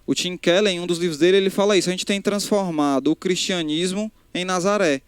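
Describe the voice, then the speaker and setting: Young preacher, higher-pitched voice, smooth and clear, dry close mic, speaks conversationally to a live crowd. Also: male voice, fairly quick, no room sound. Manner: conversational, addresses crowd directly, frequent restarts. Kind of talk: casual live sermon. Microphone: handheld microphone.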